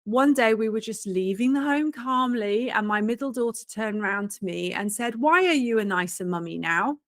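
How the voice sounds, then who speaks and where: A woman's voice: fairly high pitch, normal speed, dry recording, clean studio sound. A British woman from the UK in her forties, a professional organizer, chatting as a guest in an interview with a self-deprecating, storytelling manner. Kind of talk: conversational interview.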